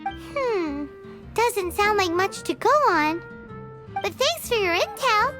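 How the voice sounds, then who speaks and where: A woman voicing a tiny, cheeky fairy companion in a video game, childlike and squeaky, with an exuberant way of talking. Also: sing-song, slow, full character voice. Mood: pondering. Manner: exuberant, speaks of herself in third person. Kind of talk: animated video game voice acting. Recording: background music.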